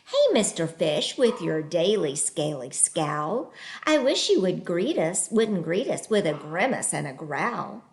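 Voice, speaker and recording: Woman doing a gentle pleading jellyfish. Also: lowish voice, big pitch swings, measured pace, pitched below the performer's own voice. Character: gentle floating male jellyfish.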